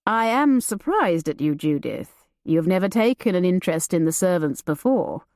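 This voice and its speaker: A woman voicing a reserved young gentleman, curt and dry. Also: on the low side, animated, moderate pace, no room sound.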